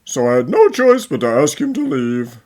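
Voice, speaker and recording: A woman's voice: a bass voice, sing-song, moderate pace, warm tone. A woman playing a blustering, obsequious male factory foreman in an amateur anime fan dub, evasive and exaggerated. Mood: dismissive.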